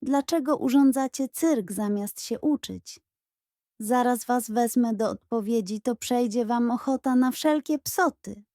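A woman's voice: fairly high pitch, unhurried, warm tone, no room sound, clean studio sound, close to an everyday voice. A woman voicing a stern female history teacher, scolding reproachfully in a children's audiobook. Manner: menacing, reproachful.

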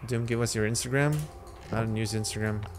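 A man's voice: fairly low pitch, fairly even intonation, brisk, noisy background. A young man whose first language is Lithuanian, chatting loosely with his live chat while he plays, talking into a headset. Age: young adult. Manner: rambling, slangy, answers chat between game moves. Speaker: livestreamer. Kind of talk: casual livestream chat while gaming. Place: home streaming room, indoors.

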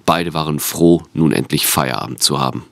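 A man's voice: extremely low pitch, moderate pace, fairly bright tone. A man reading scripted narration for an audio drama.

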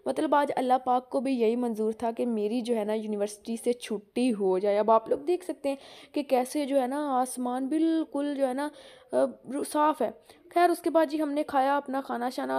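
A young woman, speaking a little higher than most women. She chats loosely and rambles with plenty of fillers, laughing here and there. It is recorded on a phone held as she walks outdoors and around her home. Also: dark tone. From Pakistan. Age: young adult. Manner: chatty, rambling, frequent "jo hai na" fillers, laughs often. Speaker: vlogger. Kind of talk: casual walking vlog narration. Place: outdoors on village roads, then at home. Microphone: phone camera held while walking.

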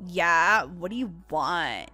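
Woman as a surly, low-voiced young counter worker. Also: animated, slow pace, bright, forceful tone, no echo, exaggerated voice. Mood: bored, surly. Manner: sulky, drawling. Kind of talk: gaming roleplay skit.

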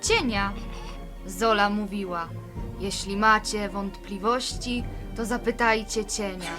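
A woman's voice: mid-range voice, steady tone, slow, over music, natural voice. A woman playing a cheeky, clowning young initiate in an amateur fantasy audio drama, joking in a playful, mock-innocent way.

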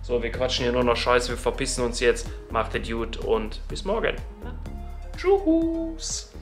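A man's voice: medium pitch, big pitch swings, a little slow, soft background music. Guy in his 30s chatting to his viewers, slangy and loose, phone mic at arm's length. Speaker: vlogger host. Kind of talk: casual vlog chat. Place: arena crowd, then hotel room. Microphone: phone held at arm's length.

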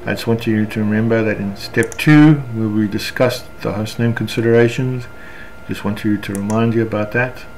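A South African man with a fairly low voice gives an unscripted software tutorial. He explains each step haltingly as he goes. Faint noise and room ambience sit behind his voice. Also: steady tone. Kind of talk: unscripted screencast walkthrough.

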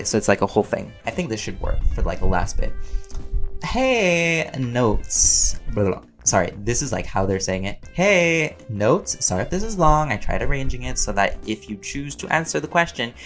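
A man chatting casually and playfully as he answers fan questions, rambling along in an expressive way. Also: on the low side. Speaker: voice actor and audio roleplay creator answering fan questions.

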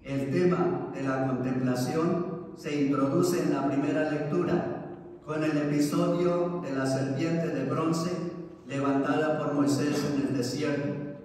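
A man preaching as a priest at Mass in a solemn, measured way, in a large church. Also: on the high side, fairly even intonation, a little mellow. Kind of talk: sermon, reading and preaching. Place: large church interior, standing at the altar. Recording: echoey church hall, from far off.

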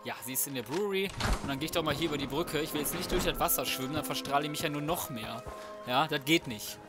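A man's voice: fairly high pitch, fast talker. A man giving casual, slangy, cocky let's play commentary, often muttering to himself. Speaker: let's player. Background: video game music and sounds.

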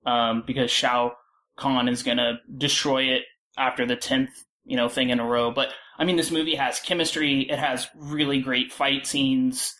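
A man talking casually as a panel guest, halting and peppering his speech with filler words. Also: mid-range voice, flat delivery, pushed and bright. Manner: halting, filler-heavy, frequent "like". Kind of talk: casual discussion.

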